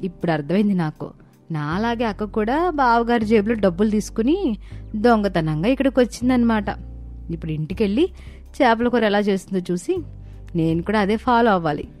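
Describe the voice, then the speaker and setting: A woman voicing a sly, food-loving young daughter-in-law in a cartoon story, expressive and conspiratorial. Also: medium pitch, a little fast, faint music behind, lightly acted. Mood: scheming. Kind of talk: dramatized moral-story cartoon acting.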